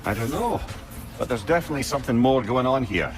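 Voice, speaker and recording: Man acting a lively, wordy old advisor. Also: medium pitch, quick pace, soft background music, natural voice. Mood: troubled, thoughtful. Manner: wordy, old-fashioned phrasing. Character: wry, learned old male advisor, earnest. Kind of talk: video game voice acting.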